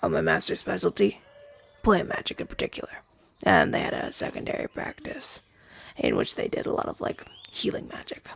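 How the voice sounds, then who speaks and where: A man's voice: high-pitched, huge pitch swings, moderate pace, gravelly, fairly bright tone, breathy edge, no echo, exaggerated voice. A young man playing a chatty, down-on-his-luck crow demon, once a witch's familiar, in a hesitant, stammering roleplay audio drama. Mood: warm, reminiscing.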